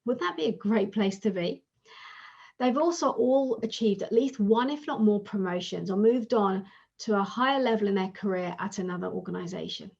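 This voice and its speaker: A woman's voice: mid-range voice, moderate pace, gravelly, a little mellow. A woman hosting a podcast as a career coach, reading a polished upbeat introduction and then interviewing her guest. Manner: polished, upbeat promotional delivery. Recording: dull lo-fi sound.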